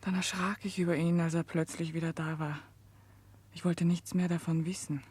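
Tormented self-denying prisoner girl, old 60s radio drama, super breathy half whisper, mellow tone. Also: fairly low pitch, flat delivery, moderate pace, dry recording. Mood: sorrowful. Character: anguished woman prisoner, self-denying, torn by love. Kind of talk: 1960s German radio drama acting.

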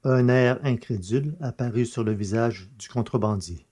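A man narrates a science fiction audio drama in a scripted, literary style. His pitch sits in the middle range. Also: normal speed, dark tone, husky.

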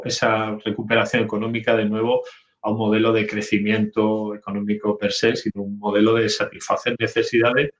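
Low, even-toned man, dull lo-fi sound. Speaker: interviewed guest. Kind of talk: reflective spoken opinion on radio.

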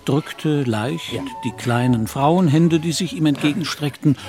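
An actor narrating a literary society story in a German radio play in a composed, measured way. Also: male voice, on the high side, darkish tone, soft background music.

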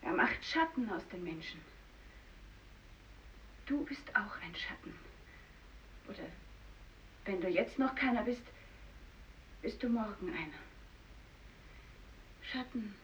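An actress playing a fearful young fisherman's wife in a German radio drama, speaking slowly in a hushed voice that drops toward whispers. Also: mid-range voice, lively intonation. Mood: cold, rejecting. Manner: slow, hushed, dropping into whispers. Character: fearful young fisherman's wife rejecting her stricken husband. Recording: dull distant recording with hiss.

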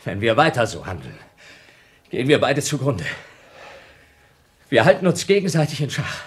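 A man's voice: medium pitch, sing-song, normal speed, close to an everyday voice. An actor playing a younger astronaut in a German radio drama, arguing with cold, pedantic logic and accusing his rival. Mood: grimly reasoning. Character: younger male astronaut, cunning, argumentative, coldly accusing rival.

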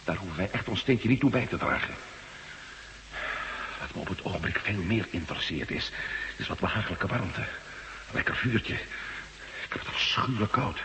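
An actor playing a humane, wry former astronaut on the run in a Dutch radio drama. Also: male voice, low-pitched, expressive, normal speed, pauses often, sharp tone. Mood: calm, weary. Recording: noisy old radio play recording.

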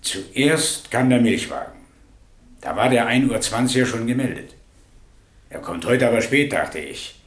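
A man performs a railwayman in his fifties testifying in a radio courtroom drama. His colloquial, clipped account moves with an animated melody. The room around the voice echoes noticeably. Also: medium pitch, a little slow, natural voice. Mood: plain, eager. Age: 50s. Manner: clipped, colloquial, matter-of-fact storytelling. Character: plain-spoken 56-year-old railway crossing keeper giving eyewitness testimony. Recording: roomy, echoing radio drama studio.

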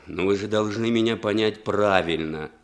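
An actor playing a scheming foreign man who talks smoothly and insinuatingly in a 1960s radio drama. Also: on the low side, moderate pace, close to an everyday voice.

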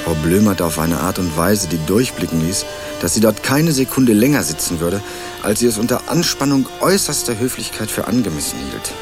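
An actor playing an earnest young male student investigator in a radio crime drama, narrating and questioning in a measured, dry way. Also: fairly low pitch, lively intonation, music in the background, close to an everyday voice. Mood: measured narration. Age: young adult. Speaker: narrator and lead character.

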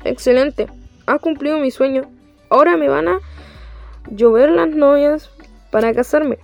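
A high, slow-spoken young woman acting a conceited, sly male fox from a radio play, with a Chilean accent and a whiny, boastful delivery. The recording is dull and lo-fi with music behind it. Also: creaky. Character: vain, deceitful male fox who loves to sing.

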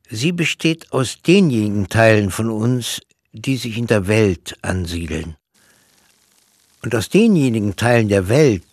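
An actor playing a pensive, cerebral man in a literary radio play monologue, speaking in a measured, reflective manner. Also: lowish voice, husky, dry recording, studio quality, heavily acted. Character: pensive man musing on mind, matter and dreams.

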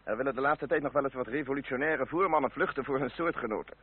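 An actor playing a courteous aristocratic cavalry captain in a radio play, with formal, polished manners. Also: male voice, medium pitch, quick pace, slightly rough, natural voice. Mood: polite, menacing. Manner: formal, polite, suave. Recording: narrow, tinny old radio recording, faint noise.

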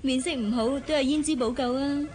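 Woman acting polite modest young secretary, high voice, hong kong cantonese radio drama, faint noise. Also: brisk, a little mellow, lightly acted. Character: modest, principled young female secretary, kind.

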